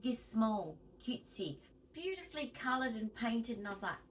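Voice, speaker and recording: An Australian woman talks to her viewers with a lively, swooping pitch that jumps up on the words she stresses. She is chatty and emphatic and sounds a little wheezy. She is recorded from a few feet away, with a faint hiss underneath. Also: normal speed, recorded from a distance. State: wheezy, tight-chested. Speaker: vlogger. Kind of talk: casual home vlog chat.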